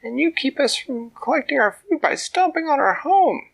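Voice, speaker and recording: A man voicing a tiny, brave female ant who speaks up loudly and politely in a very high squeaky voice. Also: big pitch swings, moderate pace, vocal fry, heavily acted. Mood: pleading. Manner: loud, shouting to be heard. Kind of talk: bedtime storytelling.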